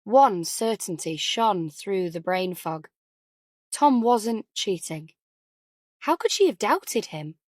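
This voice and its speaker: A woman's voice: medium pitch, animated, slow pace, a little mellow, no echo, clean studio sound. A woman reading scripted fiction as an audiobook narrator.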